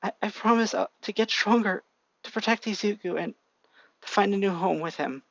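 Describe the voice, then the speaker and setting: A man voicing a high, tearful little girl. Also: moderate pace, creaky, no echo, lo-fi sound. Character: young girl, tearful and determined.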